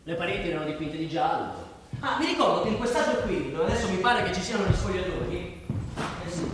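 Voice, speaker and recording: High-pitched brusque father, very echoey. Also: very animated, fast talker. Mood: reminiscing. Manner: blunt, dismissive, sardonic. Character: brusque, sardonic father, impatient former pupil. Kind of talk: theatrical stage acting, naturalistic dialogue. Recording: distant, echoing hall stage recording, faint noise.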